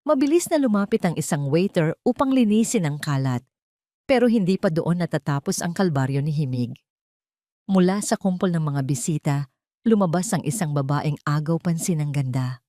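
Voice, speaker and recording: A woman's voice: deep voice, expressive, normal speed, mellow, no echo, studio quality. A woman with a Filipino accent narrating a scripted story in an emotive, theatrical way. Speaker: storyteller narrating an audio drama.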